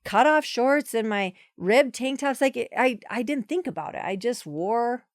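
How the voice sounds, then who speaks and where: A woman's voice: fairly high pitch, expressive, moderate pace, dry recording, clean studio sound. A woman in her forties, a podcast guest, talking in a chatty, emphatic way with many restarts. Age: 40s. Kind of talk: casual conversational interview.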